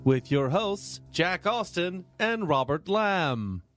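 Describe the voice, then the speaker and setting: A man co-hosting a comedy podcast in casual banter, teasing with hammy, deadpan mock seriousness. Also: sits high, takes it slowly, no room sound, faint music behind. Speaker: podcast co-host.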